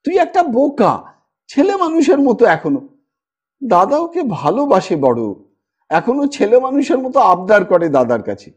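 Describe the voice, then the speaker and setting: A man voicing a calm, sensible elder sister who explains things gently. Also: very high voice, normal speed, slightly rough, full character voice.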